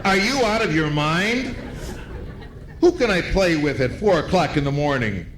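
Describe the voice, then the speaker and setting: An actor playing an exasperated, sleepless husband in an old-time radio comedy sketch, grumbling with sarcastic retorts. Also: male voice, a little high, expressive, normal speed, a bit bright. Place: live stage in a hotel ballroom. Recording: noisy live event recording.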